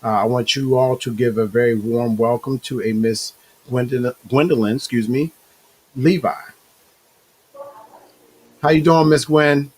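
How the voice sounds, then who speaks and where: Hoarse male talk show host. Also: mid-range voice, steady tone, a little mellow, rough recording. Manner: halting, filler-heavy, self-correcting. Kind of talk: hosting a live online talk show.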